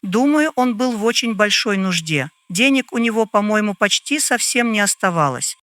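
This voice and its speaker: A man voicing a gaunt, stern middle-aged landlady in an audio drama, guarded and measured in her speech. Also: high voice, pushed and bright, no echo, voice pushed up. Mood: guarded, firm.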